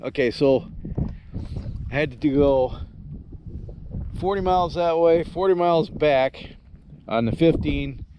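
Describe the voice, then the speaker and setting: A man's voice: a little high, slow, long pauses, highs cut off. A man giving casual outdoor travel narration to camera as a vlogger, exploring out in the open. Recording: windy outdoor recording with loud noise. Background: loud wind noise.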